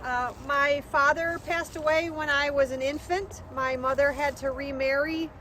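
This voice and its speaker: A woman portraying a devout immigrant of the 1800s recalling her life. Her voice is high, her telling plain and halting, like everyday conversation. There is faint outdoor noise behind her. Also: steady tone, bright, forceful tone, rough recording. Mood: reflective. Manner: hesitant, plain, conversational reminiscing. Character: Swedish-born immigrant widow's daughter, devout Lutheran woman looking back. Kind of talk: first-person historical reenactment. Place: outdoors in a cemetery.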